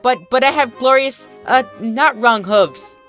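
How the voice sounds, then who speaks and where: A man voicing an eager, innocent young filly, excitable and childishly indignant, in a storybook reading. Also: very high-pitched, a little slow, music in the background, pitched above the performer's own voice. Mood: pleading. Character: eager, innocent little filly, four and a half, cheeky. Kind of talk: fan fiction storybook reading.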